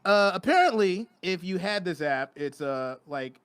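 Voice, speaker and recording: Laid-back high-voiced comedy host. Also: male voice, expressive, a little slow, fairly bright tone, smooth, no echo. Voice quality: laid-back, profane, laughing. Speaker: podcast host. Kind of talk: casual comedic chat.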